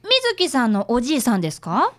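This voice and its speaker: Young boy detective, voiced by a woman, high, very animated, polite, earnest, radio drama. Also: a little fast. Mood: polite, curious. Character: polite, eager fifth-grade boy apprentice detective.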